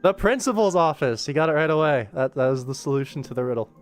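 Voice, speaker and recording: A man's voice: a little high, animated, quick pace. A man giving casual livestream commentary, informally thinking aloud as he works on an animation production. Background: music.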